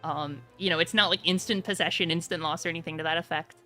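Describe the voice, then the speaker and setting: A woman game master narrates and explains rules casually. Her voice sits fairly low for a woman but is bright and forceful, with faint music behind. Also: steady tone, quick pace.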